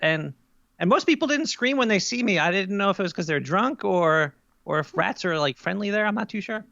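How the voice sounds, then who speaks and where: A performer plays a paranoid cartoon rat who podcasts from the sewers. The voice is creaky and close-miked, with a slightly dull sound. It talks in a hesitant, wry deadpan, like an improvised interview. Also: medium pitch, brisk, no echo. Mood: rambling, hesitant. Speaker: podcast guest, paranormal show host. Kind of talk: improvised in-character interview chat.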